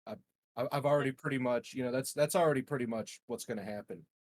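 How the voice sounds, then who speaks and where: A man playing a tabletop roleplaying game, chatting casually in a halting, laid-back way. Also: mid-range voice. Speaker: tabletop role-playing player. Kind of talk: casual chat.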